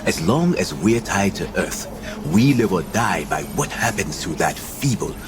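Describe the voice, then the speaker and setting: An actor playing a cynical, world-weary visionary leader in a science fiction audio drama, theatrical and dismissive. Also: male voice, low-pitched, very animated, a little slow, music in the background. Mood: sardonic.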